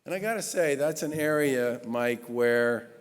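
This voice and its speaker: A man in his sixties, a state governor, giving an earnest, emphatic introduction speech with measured pauses at a gala. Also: mid-range voice. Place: large historic church. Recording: long reverb of a large church hall.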